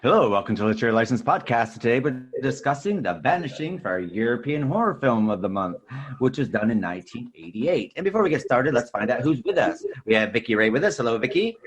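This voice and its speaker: A man's voice: mid-range voice, sing-song, fast talker. A man hosting a podcast in a casual, chatty style. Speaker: podcast host. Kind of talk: casual chat.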